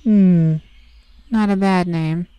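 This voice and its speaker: A woman's voice: fairly low pitch, fairly even intonation, slow, dark tone, clean tone, dry recording. A woman voicing a sassy, snarky male forest fae in an ASMR roleplay, teasing and blunt, recorded on a close mic.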